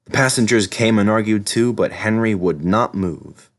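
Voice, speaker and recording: A man reads a children's story aloud in a lowish voice. He narrates as a storybook reader. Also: normal speed.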